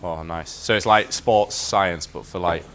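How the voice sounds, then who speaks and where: A man's voice: sits low, unhurried. Laid-back young man, noisy room. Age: 20s. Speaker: guest coach. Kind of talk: casual chat over a game of pool.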